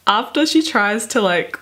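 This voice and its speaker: A woman in her twenties talking casually and dramatically to camera in a bedroom, gushing with drawn-out emphasis. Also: fairly high pitch, lively intonation, bright, forceful tone, a little hiss or hum. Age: 20s. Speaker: book vlogger. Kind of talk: casual chatty vlog with dramatic reading aloud. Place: small bedroom, indoors.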